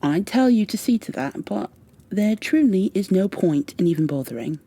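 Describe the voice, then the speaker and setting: A woman playing a stern high elf warrior in an ASMR roleplay, with formal, commanding, impatient phrasing and a soft, breathy delivery that often drops toward a whisper. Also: animated, moderate pace. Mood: stern, scornful. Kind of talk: ASMR roleplay, scripted in-character monologue.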